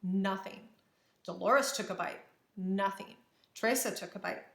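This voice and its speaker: Expressive woman storyteller in an echoey room. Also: medium pitch, a little slow. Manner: dramatic, careful phrasing. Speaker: storybook reader. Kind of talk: expressive children's read-aloud narration.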